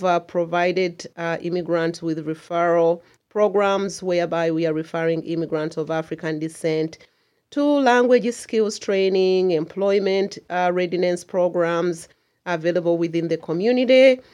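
A woman's voice: fairly low pitch, a little slow, a little mellow. A middle-aged woman from Uganda, speaking earnestly and emphatically as a community association chair in a radio interview.

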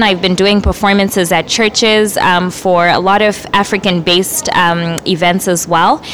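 Young woman from Zimbabwe, spoken word artist, earnest radio chat, slightly low voice, faint hum in the background. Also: fairly bright tone. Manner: earnest, measured, with frequent fillers. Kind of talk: community radio self-introduction.

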